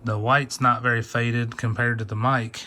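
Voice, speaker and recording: A man's voice: medium pitch, little pitch movement, normal speed, pushed and bright. A man giving an unscripted, casual hands-on gadget demo in an easygoing, technical way. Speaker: hobbyist video presenter. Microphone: phone camera mic. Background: radio chatter and hiss.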